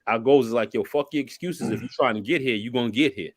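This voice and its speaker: A man's voice: mid-range voice, quick pace, no room sound. A man in his mid-forties on a podcast, giving blunt, preachy motivational talk and cursing freely. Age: 40s. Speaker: podcast co-host. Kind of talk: casual podcast chat.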